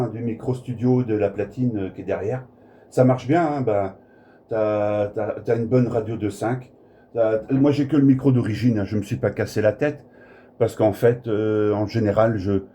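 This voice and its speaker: A man's voice: mid-range voice, dark tone. A French man chatting as a radio operator in a blunt, colloquial, rambling way. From Brittany, France. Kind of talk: casual radio contact chat. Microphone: smartphone recording in the room. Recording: clear faint background noise, smartphone recording.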